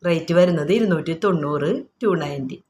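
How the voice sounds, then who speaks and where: A woman's voice: low voice, brisk, a little mellow, a little hiss or hum. A woman with a Kerala accent informally showing products item by item, explaining each and repeating prices in an unscripted, repetitive way.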